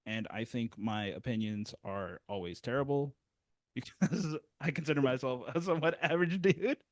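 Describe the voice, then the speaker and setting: A man's voice: medium pitch, moderate pace, no room sound. Rambling man hosting music chat. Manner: rambling, many restarts, self-deprecating. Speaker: music review host. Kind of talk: casual interview chat. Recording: dry, close sound.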